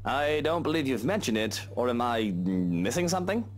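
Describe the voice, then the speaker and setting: A man's voice: mid-range voice, big pitch swings, moderate pace, close to an everyday voice. Man acting haughty young lord, swooping delivery. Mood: curt. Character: haughty, sharp-tongued wealthy young male lord. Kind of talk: visual novel game acting.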